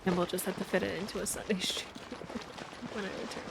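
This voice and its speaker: A woman's voice: mid-range voice, steady tone, fairly quick, creaky, very noisy. A woman livestreaming a game, chatting casually and reading the on-screen text aloud. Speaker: streamer. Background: game music.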